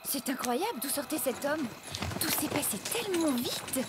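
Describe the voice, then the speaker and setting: Feisty young cartoon heroine, very high and lively, chatty and indignant, music behind. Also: female voice, normal speed, heavy background noise. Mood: excited. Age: young adult. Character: feisty, talkative young sister of the hero. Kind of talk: French cartoon dubbing. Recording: music under the voice.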